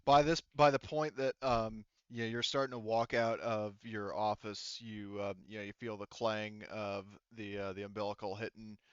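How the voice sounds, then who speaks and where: A man with a clean, fairly low voice runs a tabletop game in a casual, informal manner. His storytelling is halting and loose, with some swearing. The sound is dry and slightly dulled. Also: level tone, a bit bright, clear, resonant tone, dry recording, lo-fi sound. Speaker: game master.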